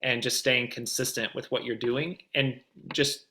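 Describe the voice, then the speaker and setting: A man's voice: mid-range voice, steady tone, measured pace, bright, forceful tone. A man speaking as a guest coach, giving casual, reassuring advice in an online Q&A.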